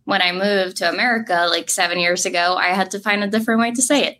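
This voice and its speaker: A young woman from Norway with a Norwegian accent, telling her racing story as an interview guest, lively and full of "like". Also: mid-range voice, fast talker, slight background noise. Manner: lively, fillers of "like", laughs easily. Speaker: drag racing driver guest.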